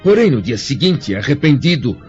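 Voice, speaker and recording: A man plays a troubled, dignified Roman senator in a Brazilian radio play. His delivery is formal and solemn, with a mid-pitched voice. The recording is dull, with music behind. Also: sing-song, moderate pace, darkish tone, natural voice. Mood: grave, reflective. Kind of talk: radio drama acting.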